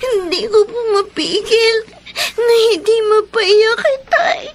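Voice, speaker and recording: A woman's voice: very high-pitched, slow pace. An actress playing a sorrowful young daughter in a radio drama, crying as she speaks with a Filipino accent. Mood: tearful sorrow.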